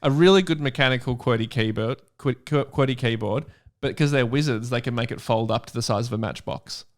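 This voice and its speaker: A man's voice: medium pitch, brisk. An Australian man in casual comedic chat, joking crudely and swearing freely. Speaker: podcast co-host reading fan fiction aloud.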